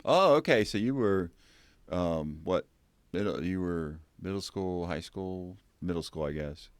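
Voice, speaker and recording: A man hosts an interview over a video call, asking questions with a hesitant, self-correcting manner. His voice sits low and sounds smooth and resonant. The recording is dry, with little room sound. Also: animated, unhurried, no echo. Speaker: podcast host.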